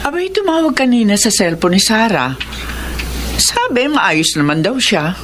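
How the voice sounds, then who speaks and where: An actress playing a devoted middle-aged mother from the provinces in a radio drama, homely and expressive. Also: on the high side, moderate pace, slight background noise. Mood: fond, wistful.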